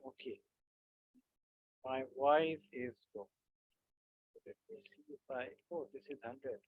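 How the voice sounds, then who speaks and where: A man teaching physics in an online class, halting with many fillers and often checking that students follow. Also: medium pitch, no room sound. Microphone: video call. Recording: tinny dry call audio.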